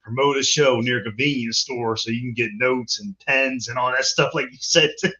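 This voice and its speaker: A man's voice: medium pitch, normal speed, pushed and bright, lo-fi sound. A man hosting a wrestling interview show, speaking casually, often repeating and stumbling over his words. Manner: repetitive, stumbling, laughs often. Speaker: show host.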